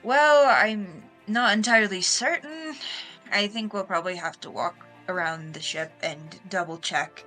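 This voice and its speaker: A woman speaks in character as a ship's captain during a tabletop game. Her voice is bright and clear-cut, at a middling pitch. Light music sits behind her. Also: a little slow, poor audio. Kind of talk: in-character tabletop role-play chat.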